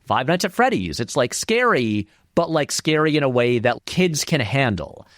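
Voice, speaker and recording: A man speaks as an interview guest with a lively, wide-swinging pitch at a middle register. His delivery is informal and discursive, leaning on words for emphasis. The sound is dry, with very little room to it. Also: normal speed, no echo. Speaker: interview guest, film critic and video essayist. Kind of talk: conversational interview commentary.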